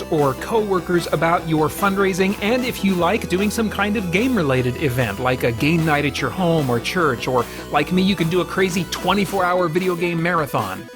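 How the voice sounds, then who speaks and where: A man hosting a review show, talking in a casual, opinionated way with earnest, halting delivery and emphasis on key words. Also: high voice. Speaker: host of a comics review series.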